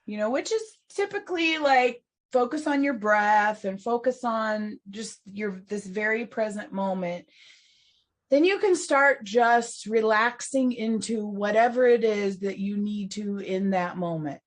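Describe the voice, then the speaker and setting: A woman's voice: mid-range voice, a little slow. A woman co-hosting a recovery podcast, talking reflectively with many restarts and laughing between thoughts. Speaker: podcast co-host. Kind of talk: casual chat on recovery and spirituality.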